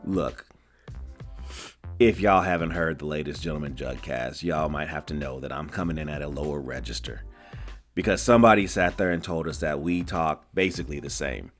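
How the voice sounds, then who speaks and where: A man's voice: extremely low pitch, moderate pace, soft background music. A man co-hosting a casual wrestling chat, talking in laid-back, drawling banter. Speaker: co-host.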